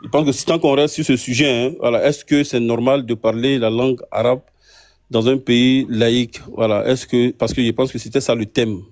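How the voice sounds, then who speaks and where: A man with a West African French accent, an imam, explains himself in an impromptu debate in a halting, circling way. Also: mid-range voice, fairly even intonation, a little mellow. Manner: halting, circling explanations with frequent tag words. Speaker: imam taking part in a debate.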